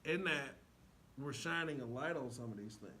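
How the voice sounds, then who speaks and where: A man's voice: mid-range voice, moderate pace, bright, forceful tone, a little hiss or hum. A man talking casually but with passion as a show guest, emphatic and repeating phrases for effect. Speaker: stage actor, show guest. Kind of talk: impassioned casual talk.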